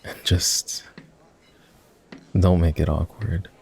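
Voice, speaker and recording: A man playing an ex-boyfriend turned boss in an intimate roleplay ASMR, talking in a halting, conversational way. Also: deep voice, steady tone, vocal fry, a little mellow, full character voice. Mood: vulnerable, regretful. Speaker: voice actor.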